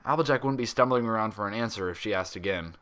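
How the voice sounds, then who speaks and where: A man reading a story aloud as an expressive narrator. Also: on the low side, quick pace. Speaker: fan fiction reader.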